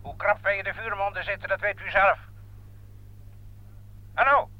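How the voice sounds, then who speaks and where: An actor playing a grave army general speaking over the telephone in a Dutch radio war drama. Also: male voice, high voice, fairly even intonation, normal speed. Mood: grave, authoritative. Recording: dull, band-limited telephone sound.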